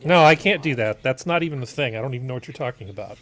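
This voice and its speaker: A man's voice: mid-range voice, animated, very fast, fairly bright tone. A middle-aged man chatting casually and comically as part of a panel. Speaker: panel member.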